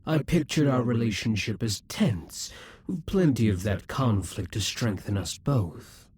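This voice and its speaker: A man voicing a possessive, scheming demon king in an audio roleplay, speaking slowly and condescendingly. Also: on the low side, darkish tone, slightly rough, dry recording, full character voice. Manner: condescending, slow and musing.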